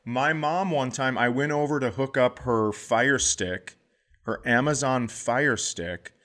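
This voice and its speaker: A man hosting a podcast, telling casual comic stories in a profane, animated way. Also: mid-range voice, slow. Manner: profane, animated anecdotes with acted-out quotes. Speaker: podcast host, comedian.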